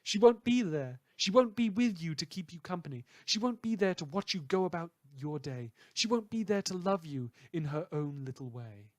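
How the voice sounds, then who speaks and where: A man playing a brooding, dramatic host of a post-apocalyptic town radio show, delivering theatrical monologues with heavy pauses. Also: fairly high pitch, expressive, no room sound, studio quality, lightly acted. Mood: eerie, brooding. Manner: theatrical, dramatic pauses, swinging from hushed to loud. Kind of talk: fictional community radio broadcast monologue.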